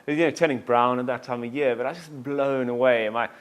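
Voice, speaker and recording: A man, a tour company founder telling his story in a relaxed, self-deprecating way, full of fillers and asides. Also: medium pitch, fast talker, smooth. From South Africa. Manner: self-deprecating, jokey, rambling with many fillers. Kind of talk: casual interview storytelling.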